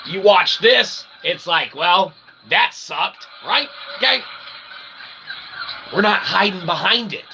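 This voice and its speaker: Gravelly man in a rambling monologue. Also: sits high, expressive, slow pace. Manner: wordy, mock-formal phrasing with folksy asides; emphatic, often checking in with "okay" and "right". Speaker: channel host. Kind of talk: rambling philosophical monologue to viewers. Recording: clear background ambient noise.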